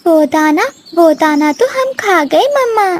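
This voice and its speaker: A woman's voice: extremely high pitch, animated, slow, pitched above the performer's own voice, exaggerated voice. A woman voicing a hungry young crow chick with a childish, whiny, pleading manner in a cartoon. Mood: sheepish. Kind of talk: Hindi moral-story cartoon acting.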